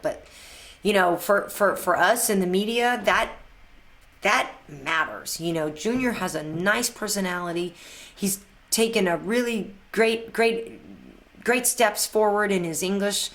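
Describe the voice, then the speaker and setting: A woman in her thirties with a somewhat low voice, hosting a live chat with her fans. She answers their questions off the cuff, eagerly, and often restarts her sentences and repeats words as she goes. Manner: chatty, enthusiastic, many restarts and repeated words; answers viewers' questions on the fly. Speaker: host answering fan questions.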